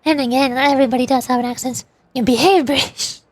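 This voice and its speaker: Woman streamer, high voice, chatty and rambling, dry close mic. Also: fairly even intonation, quick pace, dry recording. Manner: chatty, rambling, giggly, answers chat. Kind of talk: casual live-stream chat.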